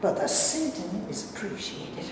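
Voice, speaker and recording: A woman's voice: mid-range voice, sing-song, moderate pace, lightly acted. An actress playing a suspicious, domineering, street-wise homeless mother in a staged reading, with a folksy, scolding, sarcastic drawl. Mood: wry, softening. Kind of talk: theatrical staged play reading. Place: live staged reading in a hall. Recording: echoey hall, far mic, noisy.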